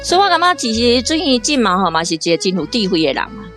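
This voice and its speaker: A woman from Taiwan, a guest reader, talking through a radio drama in a lively way, often laughing and restarting her phrases. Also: a little high, fast, over music. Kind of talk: casual on-air discussion.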